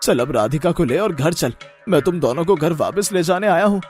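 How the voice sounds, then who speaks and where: A man voicing a cheerful, humble jalebi seller and loving village husband in an animated moral story, speaking eagerly and reassuringly. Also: on the high side, brisk, soft background music, lightly acted. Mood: happy, reassuring. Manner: eager, loud, reassuring, expressive.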